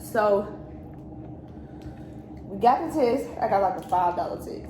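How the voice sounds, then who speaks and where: A young woman with a medium-pitched voice recounts her own story conversationally, quoting back what was said. She is in an echoey room with noticeable noise. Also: lively intonation, a little slow. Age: young adult. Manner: conversational, quotes remembered exchanges. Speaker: daughter co-hosting a storytime vlog. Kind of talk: casual personal storytelling.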